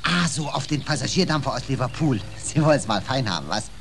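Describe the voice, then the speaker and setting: A soft-hearted naive cop, very lively pitch, exclaims a lot, noisy old radio play. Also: male voice, brisk, vocal fry. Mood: concerned, astonished. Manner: exclamatory, concerned, good-natured. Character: kindly, naive, easily shocked foreign policeman. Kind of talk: 1940s German radio drama acting.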